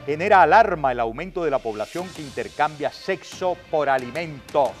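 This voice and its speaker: A man's voice: on the high side, animated, moderate pace, faint music behind. A middle-aged man with a Venezuelan accent hosting a news show, giving indignant, sarcastic commentary and emphatic rhetorical questions as he reads out reports. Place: TV studio.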